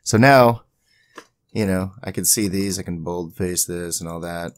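A man hosting an informal screen-recording software tutorial, rambling a little as he thinks aloud. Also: low voice, measured pace, no echo, studio quality. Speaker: tutorial host.

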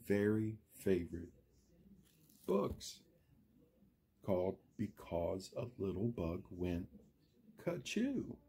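A man reading a children's picture book aloud, speaking directly to the children like a schoolteacher, with theatrical drawn-out words and dramatic pauses. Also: lowish voice, dark tone, breathy edge. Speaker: storyteller, reader to young children.

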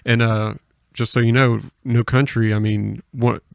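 A man's voice: medium pitch, flat delivery, a little slow, gravelly, smooth, dry recording. An American man hosting a casual podcast conversation, speaking into a close microphone. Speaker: podcast host.

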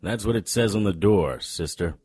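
An actor playing a world-weary, wisecracking supernatural private eye in a noir radio drama, with sardonic old-detective patter and a husky voice. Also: male voice, fairly low pitch, moderate pace, darkish tone. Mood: wry, appraising.

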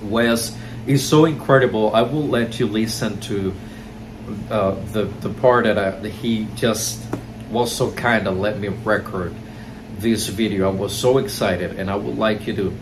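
A man's voice: fairly low pitch, measured pace. A young man from Cuba, a show host telling a story to the camera in an effusive, enthusiastic way while seated on a couch indoors. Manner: effusive, enthusiastic, heaps praise. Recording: steady background noise.

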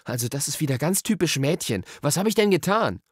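A man's voice: fairly high pitch, sing-song, a little fast, slightly rough, no echo, clean studio sound, voice pushed up. A man voicing an anxious, stubborn young boy in a storybook, pleading and insistent. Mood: indignant. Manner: urgent, insistent.

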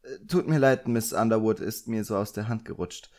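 Casting: timid, apologetic boy magician's apprentice, played by a man, raised voice pitch, hesitant, for a storybook reading. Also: normal speed, darkish tone, natural voice.

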